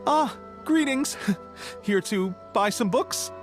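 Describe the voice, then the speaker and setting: A man's voice: very high-pitched, animated, soft background music, heavily acted. A man voicing a polite, chatty bookshop clerk in an English anime game, helpful and deferential, with a hesitant conversational manner. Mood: friendly, gossipy. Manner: hesitant, conversational, deferential, many hums and pauses.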